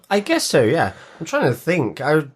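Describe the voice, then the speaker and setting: A man's voice: fairly high pitch, wildly swooping pitch, a little slow, breathy edge. A man co-hosting a podcast, chatting casually and thinking aloud as he goes. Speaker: podcast co-host. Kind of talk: casual chat about television.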